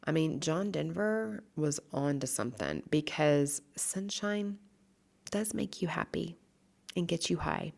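Low-voiced bubbly woman with a stuffy nose. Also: lively intonation, unhurried, gravelly, darkish tone. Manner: bubbly, upbeat and chatty. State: stuffy nose, slightly raspy from allergies. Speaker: podcast host, nutritionist. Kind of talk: casual solo podcast talk. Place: large room with stucco walls.

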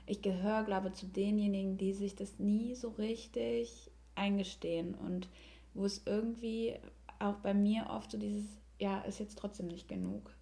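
A woman's voice: mid-range voice, steady tone, measured pace, gravelly, warm tone. A young woman chatting casually and reflectively as a podcast guest. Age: young adult. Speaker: podcast guest, yoga teacher and coach.

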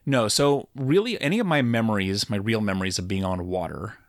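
A middle-aged man co-hosting a podcast, chatting casually in a relaxed, rambling way with halting restarts. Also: fairly low pitch, no room sound, studio quality. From Puget Sound, Washington. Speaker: podcast co-host. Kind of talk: casual chat.

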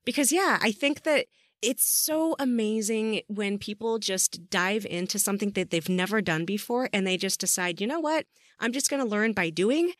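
Woman, mid-pitched, podcast host, informal and effusive, supportive interviewer, dry studio-like sound. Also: moderate pace, no echo. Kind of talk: casual interview chat.

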